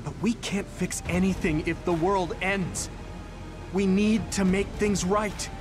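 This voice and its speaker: An actor playing an earnest, idealistic young hero in a video game, imploring yet determined. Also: male voice, sits high, steady tone, slow pace, over music. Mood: earnest pleading. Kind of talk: dramatic video game acting.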